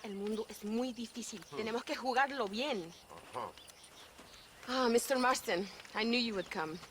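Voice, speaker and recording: A woman plays a high-voiced young revolutionary with a Mexican accent. She is earnest, formal and full of grief and conviction, in a dramatic game cutscene with faint noise behind her. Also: moderate pace, close to an everyday voice. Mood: guarded. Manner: earnest, formal, emphatic phrasing.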